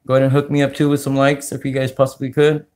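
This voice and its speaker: Flat-voiced man chatting on a livestream. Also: medium pitch. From California, United States. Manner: relaxed, halting, many fillers, greets viewers. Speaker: livestream host. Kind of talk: casual livestream chat while sorting coins.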